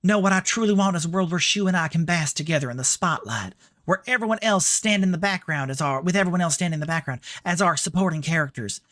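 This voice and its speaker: A man's voice: a little high, fast talker, fairly bright tone, no echo, studio quality, pitched above the performer's own voice, natural voice. Man putting on a feminine Southern schoolgirl, lovesick. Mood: dreamy, yearning. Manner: feminine, drawling. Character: lovesick, self-centred Southern schoolgirl reading her notes.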